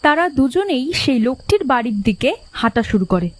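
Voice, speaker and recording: Lively high-pitched woman narrator over music. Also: fairly quick. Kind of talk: scripted storybook narration of horror cartoon.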